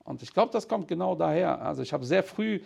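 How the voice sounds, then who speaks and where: A man's voice: fairly high pitch, lively intonation, fairly quick, creaky, darkish tone, slightly rough. A man, telling stories casually and candidly in colloquial language. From Cologne, Germany. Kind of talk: casual conversational storytelling.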